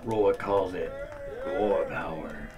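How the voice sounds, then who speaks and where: A man playing a dim, cocky, carefree space adventurer who talks about himself in third person, deadpan, in a comic radio play. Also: sits low, a crowd in the background. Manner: slow, deadpan, refers to himself by name.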